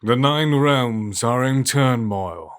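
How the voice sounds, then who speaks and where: A man playing a stern, commanding old king of the Norse gods in a fan audio drama, speaking gravely and formally. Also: mid-range voice, expressive, slow pace.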